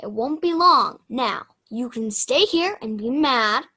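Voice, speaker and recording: Woman playing teenage girl camper, high voice, unhurried pace, plain-spoken, kind-hearted, amateur radio play acting. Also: lively intonation, sharp tone, slightly rough, exaggerated voice. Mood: frank. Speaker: student radio play performer.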